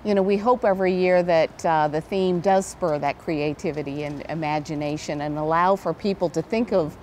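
American woman, middle-aged, slightly low pitch, conversational interview answers, lapel mic, faint hall ambience. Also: moderate pace, a little mellow, a little hiss or hum. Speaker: event director, interview guest. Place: large indoor event hall.

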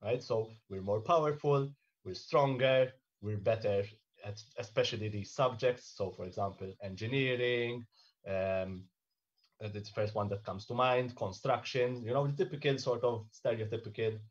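A man in his thirties on a video call in a panel discussion, explaining in a measured way and often checking in with "okay?" and "right?". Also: mid-range voice, dull audio. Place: home room indoors. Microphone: webcam on a video call.

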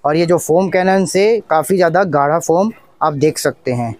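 A man's voice: on the high side, normal speed, darkish tone. A young man narrates a product demonstration vlog in a repetitive, instructional manner. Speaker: tech review channel host.

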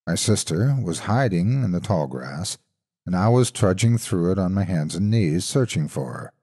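A man narrating a scripted horror story in a husky voice, with suspenseful, expressive delivery. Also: low-pitched, moderate pace.

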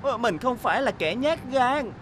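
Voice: mid-range voice, animated, moderate pace, noisy background, natural voice. A performer voicing an eager, kind young boy bus in a Vietnamese cartoon dub, speaking earnestly over music.

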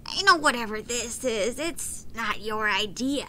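A woman's voice: a little high, lively intonation, measured pace, bright, forceful tone, slight background noise, full character voice. A woman acting in an audio drama as a tough, wry pawn shop owner who sells weapons, teasing and no-nonsense. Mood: teasing, fond.

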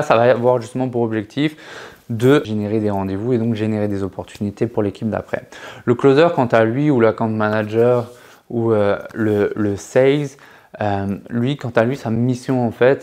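Chatty French man explaining to camera. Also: medium pitch. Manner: chatty, many fillers and restarts, lively hand gestures. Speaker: sales trainer, channel co-host. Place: living room set with sofa and shelves. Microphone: lapel mic.

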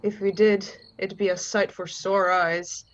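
A woman playing a laconic country roadside attendant in a radio play, speaking in a folksy, plain-spoken, unhurried way. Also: mid-range voice, level tone, natural voice. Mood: laconic, musing. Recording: slightly muffled online recording.

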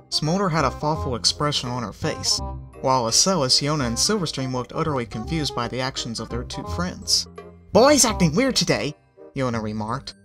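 A woman narrating a fan fiction story in an even storytelling manner. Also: a bass voice.

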